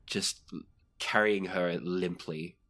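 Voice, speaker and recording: Low-voiced game master narrating the action. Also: steady tone, slow pace, a bit bright. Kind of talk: narrating a tabletop role-playing game.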